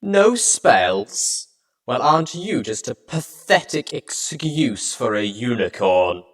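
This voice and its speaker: A jaded, haughty villainess of a pony mare, drawling slowly and grandly. The voice is pushed up high and swoops theatrically, taunting with mocking contempt in storybook fashion. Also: male voice, sing-song. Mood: gleeful taunting.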